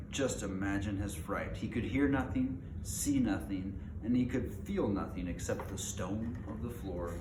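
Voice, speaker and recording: Low-voiced man reading aloud, echoey noisy room. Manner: informal, stumbles and rereads phrases. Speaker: amateur reader reading a book aloud. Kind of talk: storybook read-aloud narration. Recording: roomy echo, clear background noise.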